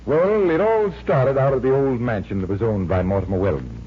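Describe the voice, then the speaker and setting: An actor in a 1940s radio mystery playing a genial, self-assured and skeptical gentleman magician detective. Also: mid-range voice, expressive, fairly quick, natural voice. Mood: genial storytelling. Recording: dull, noisy 1940s radio recording.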